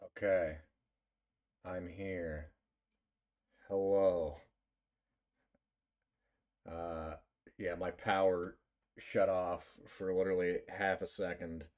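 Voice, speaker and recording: A man's voice: sits low, flat delivery, very slow, long pauses, a little mellow. A man live streaming a game, chatting casually in a rambling, jokingly dramatic way. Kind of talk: casual gaming livestream chat.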